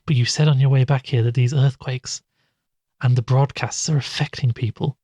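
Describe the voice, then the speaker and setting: A man playing a sceptical, weary investigator in an audio drama, measured and questioning as he talks and narrates. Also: mid-range voice, steady tone, dark tone, dry recording, close to an everyday voice. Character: sceptical, weary male investigator recounting strange events.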